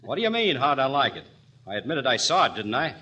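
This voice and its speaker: A man's voice: medium pitch, very animated, fast talker, muffled recording, natural voice. An actor playing a blunt, easily annoyed visitor who grumbles his way through a 1940s radio comedy. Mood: surly, indignant. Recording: noisy old radio broadcast.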